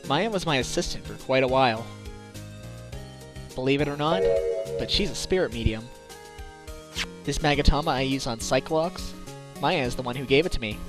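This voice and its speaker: A man's voice: mid-range voice, reverberant, close to an everyday voice. A man voicing an earnest, slightly hapless defence lawyer in a game fandub, wry and musing. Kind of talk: video game fandub acting with inner monologue.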